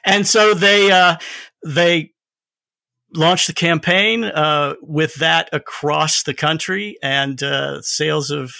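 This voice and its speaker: A man's voice: a little high, steady tone, slow pace, gravelly, pushed and bright, no room sound, studio quality. A man talking casually as a guest in a podcast conversation.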